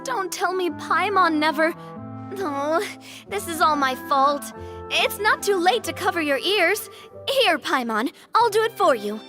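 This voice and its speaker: A woman's voice: very high voice, expressive, measured pace, over music. A woman voicing a curious, candid young woman in a video game, with a casual, breezy manner. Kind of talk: scripted video game dialogue.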